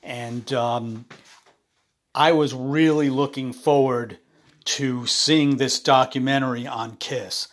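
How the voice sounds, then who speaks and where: A man hosting his own podcast while ill, his voice raspy, talking haltingly with many ums and uhs into a phone. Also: medium pitch, fairly even intonation. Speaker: podcast host. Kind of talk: casual solo podcast monologue.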